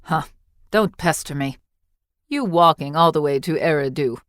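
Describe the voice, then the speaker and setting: A woman's voice: low voice, moderate pace, a bit bright, dry recording, clean studio sound. A woman voicing a brusque, practical village mother in a story, scolding and bossy. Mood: grumbling. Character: brusque, practical village mother, gruff but secretly fond.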